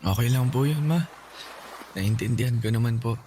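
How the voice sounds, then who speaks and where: An actor with a Filipino accent playing a gentle, brave, sickly young son in a radio drama, speaking respectfully and tenderly. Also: male voice, medium pitch, normal speed, lightly acted. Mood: grateful, light. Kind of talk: Tagalog radio drama acting. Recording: clearly noisy ambient background.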